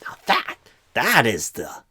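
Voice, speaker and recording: A man voicing a clueless, rambling job recruiter in an ASMR roleplay, with a breathy, husky voice and halting phrasing full of odd pauses and stresses. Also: medium pitch, wildly swooping pitch, pushed and bright, slight background noise, full character voice. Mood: probing, rambling.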